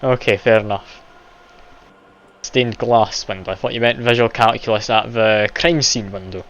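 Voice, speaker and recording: A man's voice: lowish voice, steady tone, moderate pace, a bit bright. A man streaming a game live, chatty and self-deprecating, laughing often as he plays. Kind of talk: casual live game commentary. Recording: dull lo-fi stream audio, game music behind.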